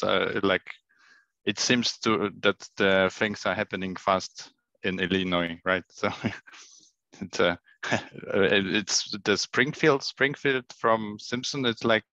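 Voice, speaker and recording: A man's voice: lowish voice, bright, forceful tone, dry recording. Hesitant man on a dull video call. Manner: hesitant, repeats words, light laughs. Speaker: scout and pre-sales for medtech companies. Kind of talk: casual online meeting chat.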